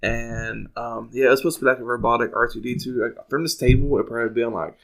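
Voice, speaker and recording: A man hosting a casual talk podcast, telling anecdotes in a rambling, halting, slangy way. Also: medium pitch, steady tone, gravelly, fairly bright tone. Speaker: podcast host.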